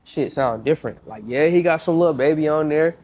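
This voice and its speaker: Congested young man, slangy and lively. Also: fairly high pitch, a little fast, slight background noise. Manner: slangy, repeats himself, lively storytelling. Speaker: music producer guest. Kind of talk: casual podcast interview.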